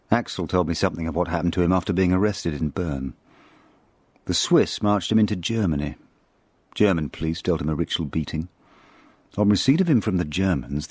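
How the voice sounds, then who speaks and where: An actor with a British accent playing a reflective, guarded intelligence officer in a radio drama, recounting his past in measured, understated, literate phrases. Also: sits low, darkish tone, no echo. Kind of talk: radio drama narration and dialogue.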